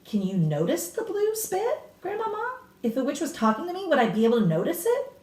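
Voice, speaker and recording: Woman playing an eager, incredulous child, swooping pitch. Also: wildly swooping pitch, brisk, a little mellow. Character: curious, fascinated young grandchild questioning grandmother. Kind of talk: dramatic children's storybook reading. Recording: roomy echo, distant mic.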